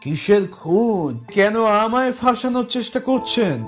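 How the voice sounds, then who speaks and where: Male actor as British gentleman culprit: high voice, halting, emotional, theatrical, light music underneath. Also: animated. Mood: defensive, agitated. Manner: dramatic, halting, mixes English into Bengali. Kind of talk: audio drama acting.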